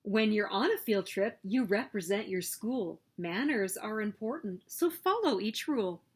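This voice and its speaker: A woman reading a children's picture book aloud as story host, with expressive, emphatic delivery. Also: medium pitch, a little slow, slightly rough. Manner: expressive, emphatic storytelling with sing-song refrains.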